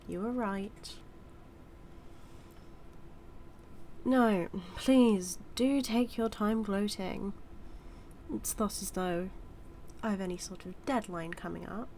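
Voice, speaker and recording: A woman's voice: medium pitch, creaky, a little hiss or hum, natural voice. A woman with a British accent acting as a smug female supervillain who mocks and teases her hero nemesis in a theatrical, drawling audio roleplay monologue. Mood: sardonic. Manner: mocking, theatrical, drawling with drawn-out words.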